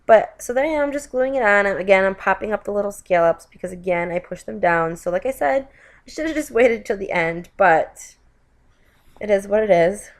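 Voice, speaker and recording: A woman's voice: mid-range voice, lively intonation, normal speed. Woman, craft host, voiceover. Stuffy from a cold, friendly running chatter with self-corrections. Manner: chatty, with frequent self-corrections and "you guys". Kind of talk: voiceover narration of a card-making tutorial.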